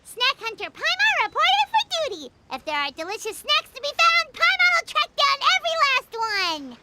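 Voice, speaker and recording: A woman voicing a tiny, excitable female floating companion who loves snacks and talks about herself in the third person. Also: very high voice, moderate pace, very noisy. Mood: excited. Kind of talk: game cutscene voice acting.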